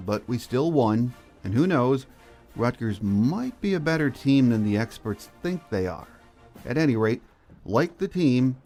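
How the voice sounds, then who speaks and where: A man's voice: fairly low pitch, darkish tone. An American man hosting a sports podcast, delivering scripted commentary and introductions.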